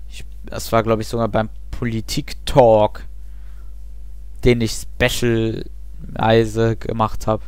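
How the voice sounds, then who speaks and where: A man's voice: on the low side, clear, resonant tone, no echo. Young man rambling over gameplay. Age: young adult. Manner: chatty, rambling, self-mocking asides. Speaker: let's play host. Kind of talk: casual gameplay commentary.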